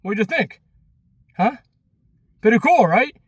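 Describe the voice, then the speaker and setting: A man in his thirties with a notably high voice and a Long Island accent. He rambles casually to his vlog viewers, emphatic and repetitive, in a dry recording with little room sound. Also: very animated, slow, husky, no room sound. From Long Island, New York. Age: 30s. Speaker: vlogger.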